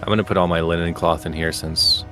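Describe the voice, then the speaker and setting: Deep-voiced man chatting over a game. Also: quick pace, over music. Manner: relaxed, joking, laughs often. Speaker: co-streamer. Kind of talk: casual gameplay chat.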